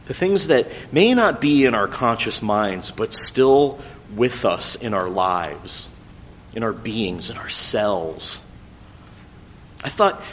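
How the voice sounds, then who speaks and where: A middle-aged man whose first language is French, telling a thoughtful, gently wry personal story in English. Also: lowish voice, a little hiss or hum.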